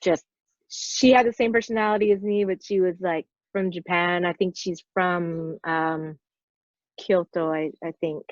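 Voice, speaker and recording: A woman's voice: on the low side, steady tone, measured pace. A woman in her forties, talking as a podcast guest, who tells her stories in a loose, rambling way with fillers sprinkled throughout. The recording sounds a bit dull and lo-fi, with a dry, close sound and no room echo. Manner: rambling storyteller, lots of "like" fillers. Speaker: podcast guest, stunt performer. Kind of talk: casual interview chat.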